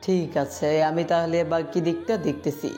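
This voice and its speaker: A loyal male gangster's aide, acted by a woman, has a mellow, lowish voice over music. The aide is respectful and wary, questioning the boss's plans in a homemade audio-drama style. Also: fairly even intonation, moderate pace, close to an everyday voice. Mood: wary, dutiful. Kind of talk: amateur Bengali audio-story acting.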